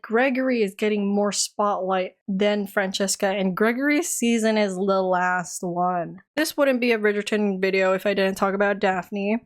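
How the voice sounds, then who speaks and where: A young woman giving an animated, exasperated review of a TV series in a casual vlog, her voice tiring. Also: mid-range voice. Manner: animated, exasperated, dramatic outbursts. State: voice getting tired.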